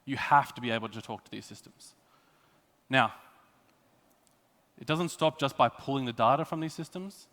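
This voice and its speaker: A man's voice: medium pitch, moderate pace, pauses often, a bit bright. An Australian man giving a prepared technical conference presentation, explaining things with emphatic phrasing. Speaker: conference speaker. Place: conference hall. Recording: echoey hall with long reverb.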